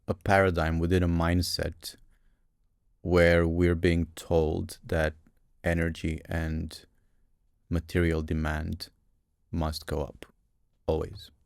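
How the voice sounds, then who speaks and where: A man's voice: low-pitched, gravelly, darkish tone, smooth, no room sound, studio quality. A man in his thirties from Romania, a guest on a podcast, answering questions in a thoughtful, emphatic way and repeating his key points. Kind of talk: conversational interview answers.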